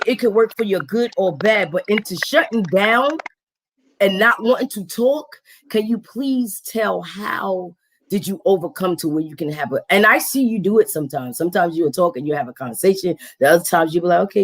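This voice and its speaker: Raspy, deep-voiced woman chatting. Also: fairly quick. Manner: animated, slangy, acts out quotes in a higher voice. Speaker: host. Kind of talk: casual live-stream chat.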